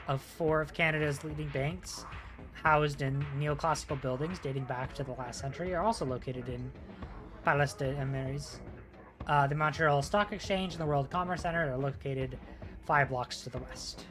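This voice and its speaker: A man's voice: a little high, steady tone, moderate pace, fairly bright tone, faint music behind. A young man chatting casually and swearing freely, then reading a game sourcebook aloud with small stumbles. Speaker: podcast co-host.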